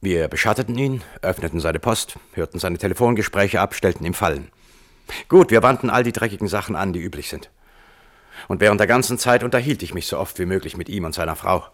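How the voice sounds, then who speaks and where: An actor playing a frank lawyer and former security chief giving dry testimony in a radio play. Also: male voice, deep voice, animated, brisk, a bit bright. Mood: candid. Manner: matter-of-fact, candid. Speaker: witness at a hearing. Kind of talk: radio play courtroom acting.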